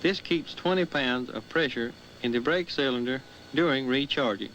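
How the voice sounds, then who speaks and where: Railroad foreman explaining procedure, faint rumble. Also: moderate pace, a bit bright, poor audio. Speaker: railroad road foreman of engines. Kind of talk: scripted instructional narration. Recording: faint vehicle noise behind.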